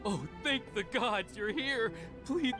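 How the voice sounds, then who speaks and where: An actress playing a frightened, polite young captive in an anime-style video game, breathless and urgent. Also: female voice, a little high, expressive, takes it slowly, music in the background. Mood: relieved, shaken.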